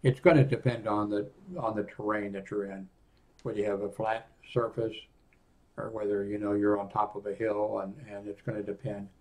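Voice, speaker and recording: Older man with a lowish voice, rambling review. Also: little pitch movement, a little mellow. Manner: rambling, halting, reads aloud from a screen. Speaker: product reviewer, vlogger. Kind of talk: casual unscripted product review. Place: small indoor home office room.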